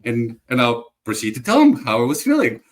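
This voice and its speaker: A man's voice: mid-range voice, wildly swooping pitch, a little fast. Earnest male guest from Bulgaria. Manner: reflective, earnest, stumbling restarts. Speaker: guest entrepreneur. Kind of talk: casual conversational interview.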